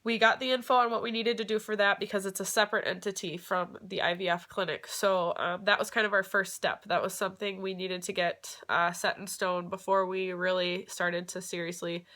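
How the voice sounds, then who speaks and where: A young woman with a stuffed-up nose from a cold talks casually and openly, with plenty of ums and the odd laugh. Her voice is bright and forward. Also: medium pitch, fairly even intonation, fairly quick, hardly any pauses. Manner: chatty, many fillers, occasional laughs. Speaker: vlogger. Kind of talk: casual vlog explanation.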